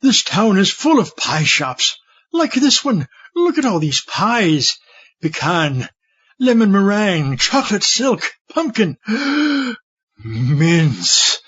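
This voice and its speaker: Comedy audio drama: eccentric older mad scientist, very high voice, pompous and giddy, slightly muffled recording. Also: male voice, takes it slowly, slightly rough, heavily acted. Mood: delighted. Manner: fussy, pompous, excitable, repeats himself. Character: gleeful, pie-obsessed, amoral eccentric older mad scientist. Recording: dull lo-fi audio with music behind.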